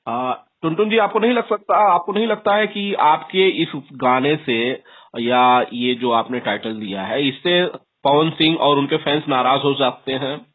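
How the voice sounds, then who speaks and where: A man's voice: medium pitch, unhurried. A man working as a news interviewer, putting formal, pressing questions over a phone call. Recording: thin phone-like sound.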